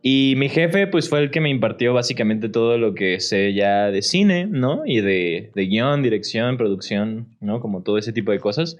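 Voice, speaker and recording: A man's voice: medium pitch, animated, normal speed, few pauses. A man with a Mexican accent chatting casually as an actor guest on a podcast, slangy and peppered with tag questions. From Puerto Vallarta, Mexico. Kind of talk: casual chat.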